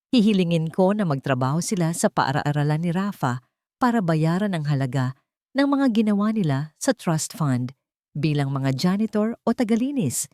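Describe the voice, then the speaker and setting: Female Filipino story narrator, slightly low register, scripted first-person drama, no room sound. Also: dark tone, dry recording, clean studio sound.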